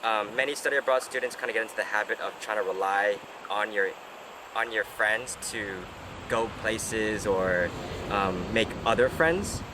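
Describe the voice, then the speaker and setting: A man's voice: lowish voice, normal speed. A young man hosting a casual chat, talking hesitantly with lots of fillers and restarts. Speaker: host. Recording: noisy outdoor street.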